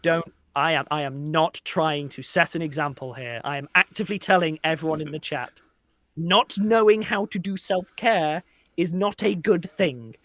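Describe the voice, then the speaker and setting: Guy on the higher side, chatting as a guest, earnest n wry, dry recording. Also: moderate pace, a bit bright, dry recording. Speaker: interview guest. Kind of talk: casual chat.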